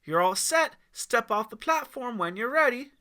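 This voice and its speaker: A man voicing an upbeat young female ropes course guide, loud and chirpy, in an audiobook. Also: very high-pitched, lively intonation, moderate pace, pitched above the performer's own voice, heavily acted. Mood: encouraging. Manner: loud, chirpy, instructing campers.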